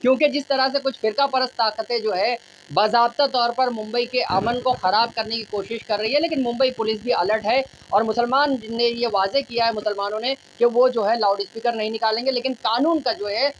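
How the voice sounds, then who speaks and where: High-voiced woman news reporter. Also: steady tone, fairly quick. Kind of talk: news reporting, interviewing locals. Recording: noisy on-location news audio.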